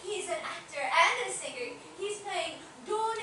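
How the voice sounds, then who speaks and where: A woman's voice: extremely high pitch, expressive, unhurried. A woman playing a coy, adoring rich widow who gushes over her singer, in a comic stage play reading. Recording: distant, very echoey, noisy theatre recording.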